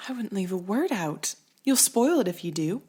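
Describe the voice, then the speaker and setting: An actress playing a sweet, selfless young sister in a period audio play, earnest and gently supportive. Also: female voice, mid-range voice, animated, moderate pace, slightly rough. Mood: admiring. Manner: soft-hearted, earnest. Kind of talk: period audio drama acting.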